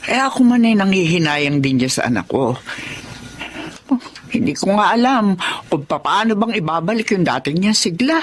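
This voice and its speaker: A woman's voice: mid-range voice, big pitch swings, normal speed, long pauses, a little hiss or hum, natural voice. A woman acting a worried, loving elderly mother who nags and pleads, in a Filipino radio drama. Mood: chatty, regretful.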